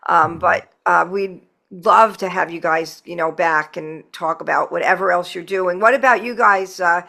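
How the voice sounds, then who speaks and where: A woman's voice: fairly low pitch, moderate pace, gravelly, bright, forceful tone. A woman hosting a podcast, chatting casually and chiming in with agreement, recorded over a video call. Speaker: podcast host. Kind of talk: casual chat.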